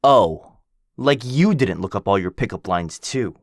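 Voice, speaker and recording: A man's voice: fairly low pitch, expressive, measured pace, no echo, studio quality. A man playing a cocky, teasing werewolf. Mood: smug. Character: cocky, playful male werewolf rival. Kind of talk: boyfriend ASMR roleplay.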